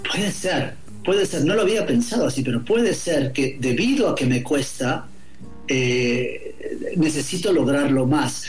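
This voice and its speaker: A man from Guatemala, a novelist, answering interview questions in a thoughtful, halting way, repeating words and tagging phrases with "no?". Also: mid-range voice, lively intonation, roomy sound, faint music behind. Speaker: novelist guest.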